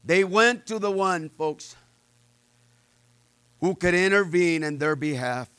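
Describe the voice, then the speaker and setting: A man preaching a sermon with personal testimony, loud and fervent, peppering his words with amens and uh's. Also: high voice, lively intonation, slow pace, clean tone, dry recording.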